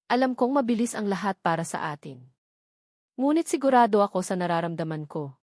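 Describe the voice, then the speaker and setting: A woman's voice: medium pitch, expressive, fairly quick, darkish tone, dry recording, clean studio sound, close to an everyday voice. A Filipino woman voicing a devoted, earnest man in love in a romance audio story. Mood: earnest, pleading. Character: devoted, earnest young male architect in love.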